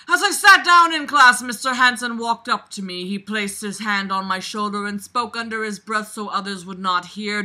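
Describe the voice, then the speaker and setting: A person reading aloud and breaking off for casual, sarcastic running commentary, blunt, sweary and emphatic. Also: mid-range voice. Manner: animated, emphatic, jumps from muttering to loud outbursts. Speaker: reader reviewing a book aloud.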